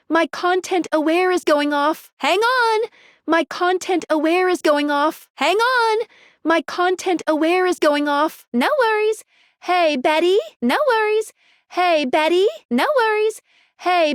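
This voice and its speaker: A perky, earnest female helper from a video game. Her voice sits high and swings widely as she quips and encourages. She sounds eager with a nervous edge, in a close, dry studio sound. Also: no room sound, exaggerated voice. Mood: upbeat, excited. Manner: chipper, quippy, expressive. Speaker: game character guiding the player. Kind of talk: animated video game voice acting.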